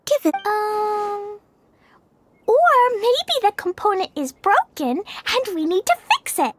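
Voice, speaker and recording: A woman voicing a tiny, cheeky, childlike fairy companion in game dialogue, squeaky and cartoonish. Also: big pitch swings, slow pace, slight background noise, heavily acted. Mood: suspicious, guessing. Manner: squeaky, cartoonish, speaks in third person. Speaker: voice actor.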